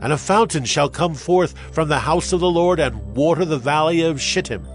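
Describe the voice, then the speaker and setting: An actor playing a solemn Hebrew prophet in a dramatized scripture reading, declaiming with grave emphasis. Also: male voice, fairly high pitch, moderate pace, lightly acted. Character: solemn male Hebrew prophet proclaiming judgment and promise. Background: music.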